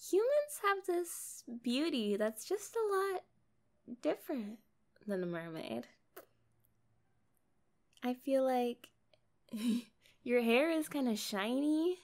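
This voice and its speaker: A young woman playing a kind, curious but guarded mermaid in a conversational ASMR roleplay, chatting casually with hesitant pauses. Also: a little high, heavily acted. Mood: shy, fond.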